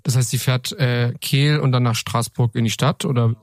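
A man's voice: mid-range voice, steady tone, normal speed, no echo. A man hosting an interview, putting questions to his guests in a conversational style. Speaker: host, interviewer. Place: studio.

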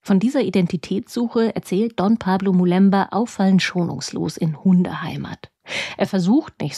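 A woman reporter narrates a scripted book review with measured, deliberate phrasing. Her voice is on the low side and mellow. The recording is close and dry. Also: dry recording.

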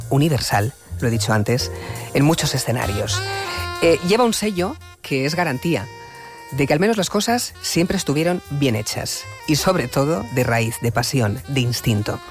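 A man hosts a late-night radio interview. His delivery is musing and deliberate, with rhetorical turns and a mid-range pitch. Faint music plays underneath. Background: music playing under the talk.

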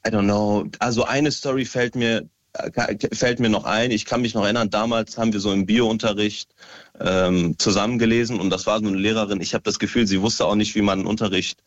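Young man from Syria calling in, low voice, dull phone sound. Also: brisk, no room sound. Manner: relaxed, with hesitant fillers. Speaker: caller. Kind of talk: casual phone call to a radio show.

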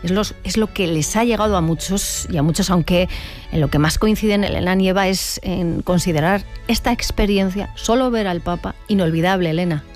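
Low-voiced woman anchoring the news. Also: darkish tone, soft background music. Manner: measured, clear delivery handing over to reporters. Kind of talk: live radio news presenting.

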